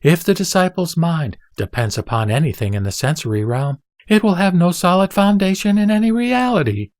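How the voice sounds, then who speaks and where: A man reading scripture as a scripted narration, in a solemn, measured, teaching manner. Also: sits high, expressive, darkish tone, no room sound, studio quality. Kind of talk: scripted sutra recitation, audiobook narration.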